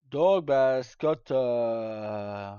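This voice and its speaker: A man's voice: mid-range voice, drawn-out words, dark tone, clear, resonant tone. A man chatting casually to live viewers as a streamer, rambling and hesitant in colloquial speech with some swearing. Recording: dull lo-fi mic in echoey room.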